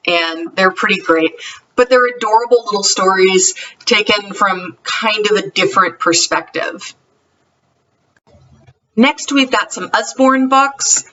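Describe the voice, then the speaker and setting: A woman's voice: mid-range voice, fairly bright tone, recorded from a distance, highs cut off. A woman talking casually and enthusiastically, like a homeschool vlogger reviewing books. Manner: chatty, enthusiastic. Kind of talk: casual vlog book review.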